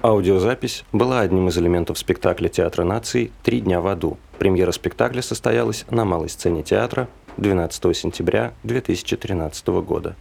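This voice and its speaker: A man with a gravelly, husky voice reading scripted credits as an announcer. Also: low-pitched, brisk, few pauses, darkish tone.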